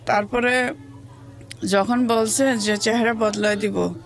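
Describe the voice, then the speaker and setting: A woman's voice: on the high side, steady tone, takes it slowly, gravelly. A woman with a colloquial Bangladeshi accent giving personal testimony as an interview guest, plainly recounting events and quoting what others said. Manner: plain colloquial telling, quotes others' words. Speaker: interview guest telling her life story. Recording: music under the voice.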